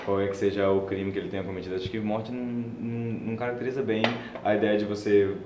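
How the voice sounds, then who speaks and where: Hesitant low-voiced young man. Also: little pitch movement, fast talker, roomy sound, background noise. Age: 20s. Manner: hesitant, searching for words. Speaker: economist panelist. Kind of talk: casual panel debate.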